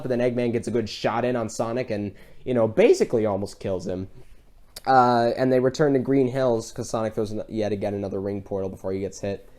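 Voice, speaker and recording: A man's voice: medium pitch, darkish tone. Rambling, enthusiastic young-sounding male podcast co-host. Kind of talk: casual film recap chat.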